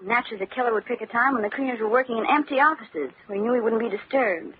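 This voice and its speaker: An actress playing a plucky, excitable female investigator in an old-time radio drama, with an expressive, exclamatory delivery. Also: fairly high pitch, quick pace, close to an everyday voice. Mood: worried. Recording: muffled, noisy vintage radio recording.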